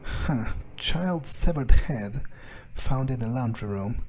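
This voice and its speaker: A man improvising in-character game commentary as a jaded vampire, thinking aloud in a deadpan, sardonic, musing way. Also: medium pitch, slow, slight background noise. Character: jaded, unimpressed male vampire muttering his thoughts. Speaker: let's player roleplaying in character.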